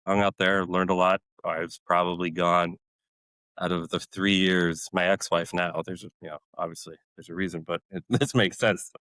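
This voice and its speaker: A man's voice: low-pitched, little pitch movement, moderate pace, a bit bright, no room sound, low-quality recording. A man in his forties whose first language is Polish, a podcast guest telling army stories casually and animatedly, laughing often and acting out other people. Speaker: podcast guest, retired Army warrant officer. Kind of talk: casual conversational storytelling.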